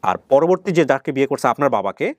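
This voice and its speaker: A man's voice: mid-range voice, big pitch swings, quick pace, a little mellow, no room sound, studio quality. A man with a Bangladeshi accent hosting and interviewing conversationally, explaining things and probing with rephrased questions. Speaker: show host. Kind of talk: conversational interviewing on a family reunion show.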